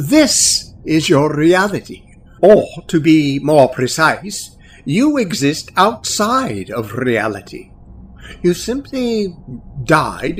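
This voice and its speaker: Man playing slow, detached guide to the dead. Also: a little high. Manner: deliberate, detached, measured. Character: calm, matter-of-fact otherworldly guide to the newly dead. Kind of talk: audio drama acting. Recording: faint background noise.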